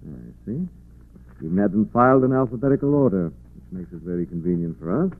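A suave gentleman sleuth speaks in a lowish voice with dry, commanding wit. It is 1940s radio drama on a tinny, narrow-band recording. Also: big pitch swings, quick pace, exaggerated voice. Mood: assured.

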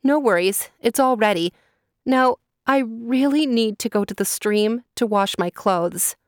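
A woman's voice: on the high side, unhurried, no room sound, studio quality, pitched above the performer's own voice, natural voice. A woman voicing a young captive girl in a storybook, speaking in an earnest, pleading way.

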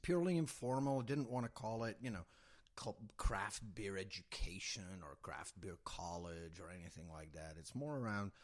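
A man from Belgium, a beer educator and podcast guest, explaining in a relaxed, unhurried way. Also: low-pitched. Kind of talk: casual interview talk.

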